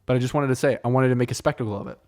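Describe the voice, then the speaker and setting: A man's voice: medium pitch, very fast, darkish tone. A man hosting a solo podcast, telling meandering, profane stories in a joking, casual way, with French as his first language. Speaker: podcast host. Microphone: close studio mic.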